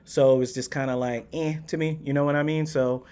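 A man's voice: medium pitch, flat delivery, fairly quick, a little mellow, clear, resonant tone. A man with an American accent giving slangy, profane, casual reaction commentary as a video host. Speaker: YouTube host reacting to a game trailer. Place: indoor gaming setup with desk. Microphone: microphone on boom arm, close.

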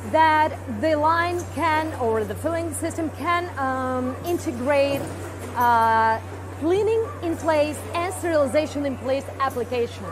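A woman with a high voice walks listeners through a production line, explaining each step with fillers and restarts. She speaks into a lapel mic on a large factory floor, with music playing clearly underneath. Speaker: company presenter. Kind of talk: guided equipment tour.

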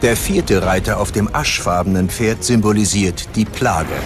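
A man's voice: on the low side, moderate pace. A man narrating a scripted documentary in a grave, dramatic manner. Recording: prominent music bed under voice-over. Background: music.

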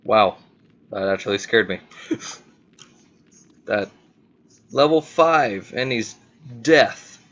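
Slow-talking man commentating on a game. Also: lowish voice, animated, fairly bright tone, dull audio. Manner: playful, talks to himself, drawn-out exclamations. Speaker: gaming video host. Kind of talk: casual gameplay commentary.

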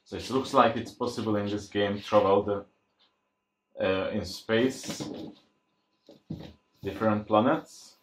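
A man giving casual, unscripted unboxing commentary, thinking aloud in a halting way as he presents. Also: fairly low pitch, a little hiss or hum. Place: indoors at a tabletop. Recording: roomy, echoey sound.

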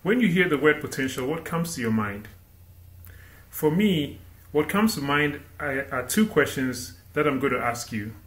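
A young man from Ghana giving an animated inspirational sermon as a guest speaker, asking the listeners rhetorical questions. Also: fairly high pitch, moderate pace. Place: indoor room against a plain wall. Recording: roomy echo.

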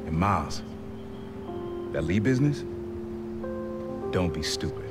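Low-voiced streetwise uncle, music behind. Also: male voice, big pitch swings, a little slow. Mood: stern. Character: gruff streetwise uncle, reformed ex-criminal, protective. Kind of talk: video game cutscene acting. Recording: game audio with music behind.

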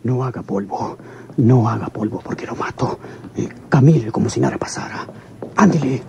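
An actor playing a tough hired gunman with a gravelly voice in a Mexican radio serial. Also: mid-range voice, normal speed, noisy background, close to an everyday voice. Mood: threatening.

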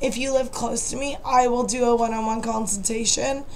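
Woman, young adult, high voice, losing her voice, rambling, apologetic, webcam in a bedroom. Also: fairly even intonation, moderate pace, a little hiss or hum. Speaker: vlogger, makeup seller. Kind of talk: casual chat to camera. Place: dim bedroom at night.

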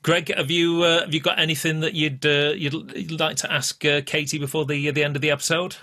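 A middle-aged man hosting a casual podcast interview over a video call, speaking haltingly and repeating words. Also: on the high side, flat delivery, sharp tone. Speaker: podcast host. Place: room indoors, video call.

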